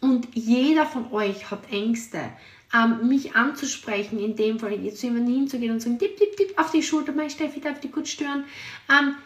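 An energetic woman gives a motivational talk in a high, shrill voice and hammers her points home with repetition. She sits a little away from the microphone. The room around her is echoey. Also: brisk, distant mic, dull audio. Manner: energetic and emphatic, piles up repeated words. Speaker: podcast host and sales team leader.

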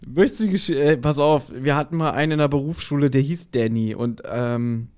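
A man's voice: medium pitch, fairly quick, darkish tone, smooth. A man co-hosting a podcast, casually retelling a story and acting out the quotes as he goes. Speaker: podcast co-host. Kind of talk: casual chat, retelling an audio drama episode.

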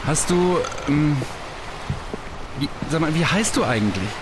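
A man's voice: on the high side, animated, unhurried, background noise. A man playing an awkward, nervous young stage mentalist in a science-fiction radio play, stammering and hesitant. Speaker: voice actor in an audio drama.

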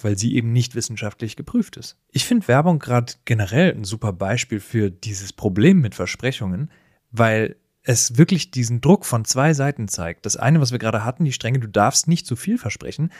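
A man's voice: mid-range voice, a little mellow, dry recording, studio quality. A man talking through ideas as a podcast co-host in a casual, colloquial, explanatory way. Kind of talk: casual, reflective discussion.

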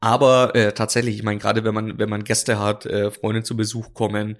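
A man's voice: on the low side, little pitch movement, brisk. A man, a drinks expert and interview guest, talking casually and rambling with many fillers and restarts. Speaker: drinks brand ambassador, guest.

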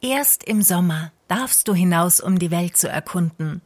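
A woman playing a gentle, patient squirrel mother in a children's audio drama, tender but firm, with a husky voice. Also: on the low side, animated, unhurried. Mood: patient, reasoning.

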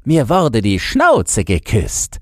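A man voicing a boastful, nostalgic old chained dog in a shrill, yapping falsetto for a storybook. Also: wildly swooping pitch, takes it slowly, dry recording, studio quality, heavily acted. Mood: wistful, nostalgic. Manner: knowing, exclamatory, barking.

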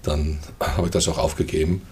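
An older man from the Netherlands with a Dutch accent, talking hesitantly with many fillers and throat clearing as an interview guest. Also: extremely low pitch, flat delivery, brisk, darkish tone. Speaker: guest, festival co-manager. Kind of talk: casual interview chat.

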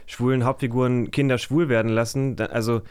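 A man's voice: medium pitch, steady tone, a little fast, darkish tone, clean tone. A man in a casual discussion as a podcast guest, thinking aloud in informal, youthful language.

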